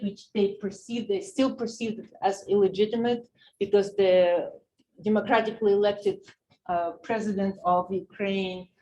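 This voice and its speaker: A woman's voice: mid-range voice, a little mellow. A woman with a Russian accent, a native Russian speaker, giving a university political science lecture, with frequent fillers and restarts. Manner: halting, many fillers and restarts. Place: lecture hall. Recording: roomy hall with faint noise.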